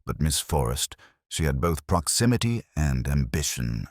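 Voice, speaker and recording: A man voicing a commanding, cerebral private detective who makes formal, deliberate pronouncements in an audio story. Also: very deep voice, sing-song, dry recording, studio quality, exaggerated voice.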